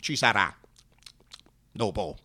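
An actor playing a crude, paranoid anticommunist strongman in a satirical radio monologue, halting and repeating himself as he mutters and erupts. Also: on the low side, lively intonation, sharp tone, voice pushed down, full character voice. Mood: sardonic contempt. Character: crude paranoid right-wing Latin American anticommunist strongman, cynical, scornful.

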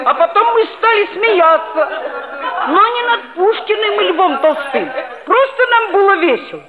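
A cheeky schoolboy narrates an old radio play in a very high voice. He is chatty, and the sound is narrow and phone-like. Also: animated, a little slow, over a noisy crowd. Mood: amused.

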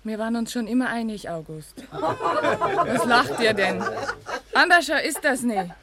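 An actress playing a spirited, defensive young country bride with a Silesian accent in a German radio drama. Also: female voice, on the high side, very animated, unhurried, over a noisy crowd. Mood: bright, defensive.

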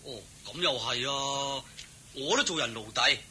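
An actor playing a simple, humble young servant in a Cantonese radio drama, hesitant and naively earnest. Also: male voice, medium pitch, measured pace, sharp tone, a little hiss or hum. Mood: puzzled, humble.

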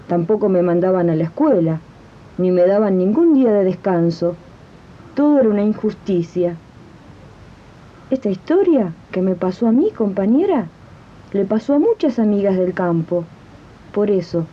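Radio drama: actress as young rural maid, mistreated, deferential and pleading, mid-range voice, muffled archival recording. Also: female voice, mid-range voice. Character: mistreated young country housemaid, pleading and wronged. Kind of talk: 1980s community radio drama acting. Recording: dull, lo-fi archival radio tape.

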